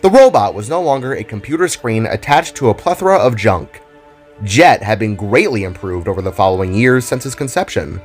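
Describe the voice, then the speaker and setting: A man narrates a dramatic tale in a fairly low voice that moves up and down in animated swings. His delivery is theatrical, like a storybook being read aloud. Soft music plays underneath. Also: brisk. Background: background music.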